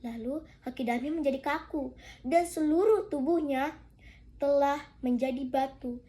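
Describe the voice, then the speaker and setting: Young female contest storyteller, very high voice, deliberate dramatic folktale narration. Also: warm tone.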